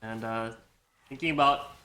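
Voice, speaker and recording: Young adult man, American accent, bright voice, casual rambling gameplay commentary. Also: mid-range voice, a little slow, a little hiss or hum. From Iceland. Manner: rambling, full of likes and you-knows. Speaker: gamer and video creator.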